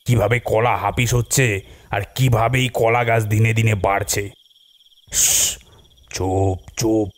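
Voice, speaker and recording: A man's voice: lowish voice, natural voice. A man voicing a hot-tempered, bossy village uncle in a cartoon, scolding and suspicious. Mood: gruff, bossy, then wary, suspicious. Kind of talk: cartoon voice acting.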